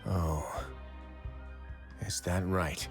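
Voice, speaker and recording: A man playing a composed, shrewd fortress warden in a video game, speaking formally and deliberately. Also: low-pitched, steady tone, full character voice. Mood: pensive. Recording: music behind the voice.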